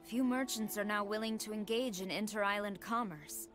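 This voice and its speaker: A stern female military commander played by a woman in a video game. She speaks formally, with measured authority. Faint music sits behind her words. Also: mid-range voice, fairly bright tone, natural voice. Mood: stern, businesslike. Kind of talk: scripted video game dialogue.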